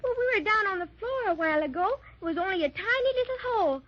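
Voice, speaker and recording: A woman's voice: very high-pitched, animated, fast, background noise. A woman playing a sweet, impatient little girl in a 1930s children's radio drama, pleading and marveling like a child. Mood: eager, wondering. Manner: breathless childlike pleading and wonder. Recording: dull 1930s radio transcription.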